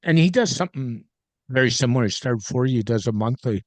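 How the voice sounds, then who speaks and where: A male podcast host chats in a friendly, agreeable way, building on his guest's points. His voice sits at a middle pitch. It is recorded close and dry, with no room echo. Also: animated, fast talker, a little mellow. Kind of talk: casual interview chat.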